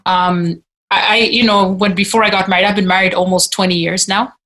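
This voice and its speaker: A woman's voice: medium pitch, flat delivery, brisk, a bit bright. A woman in her forties reflecting on her life in a group video-call discussion, talking hesitantly with many restarts. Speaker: discussion participant.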